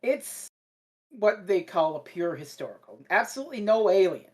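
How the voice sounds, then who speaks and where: A woman giving opinions in a casual podcast review, blunt and sweary, pausing to think as she goes. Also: medium pitch, big pitch swings, darkish tone.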